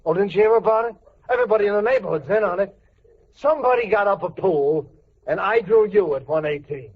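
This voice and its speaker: A man's voice: sits high, expressive, a little fast. A man playing a blunt, nosy neighborhood butcher in an old radio sitcom, folksy and matter-of-fact. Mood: chummy. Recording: muffled old radio recording.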